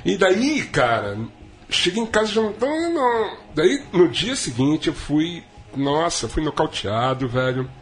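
Man rambling with sardonic, lively swings. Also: unhurried. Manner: rambling, sardonic storytelling with big swings in pitch. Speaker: podcast co-host. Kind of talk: casual comedic chat.

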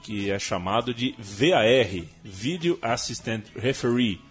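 A man's voice: mid-range voice, expressive, unhurried, soft background music. A man from Brazil hosting a casual sports talk show, thinking aloud and restarting his phrases often. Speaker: host.

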